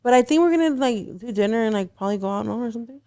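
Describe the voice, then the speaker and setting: Smooth-voiced woman in her twenties. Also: on the high side, animated, very fast, darkish tone. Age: 20s. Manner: chatty, filler-heavy with frequent "like". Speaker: podcast co-host. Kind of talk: casual chat. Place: podcast studio.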